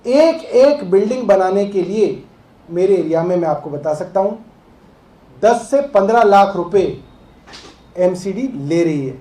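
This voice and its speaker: A man's voice: high voice, lively intonation, unhurried, mellow. Guy giving a press briefing, explains stuff step by step, roomy echo. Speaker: political party spokesperson. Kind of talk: press conference address. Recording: roomy, echoing press room sound.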